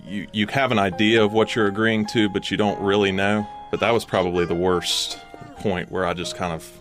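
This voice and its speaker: A man with a deep voice recalls personal experience in an interview. He speaks haltingly, searching for words, over faint music. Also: steady tone. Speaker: interviewed parent.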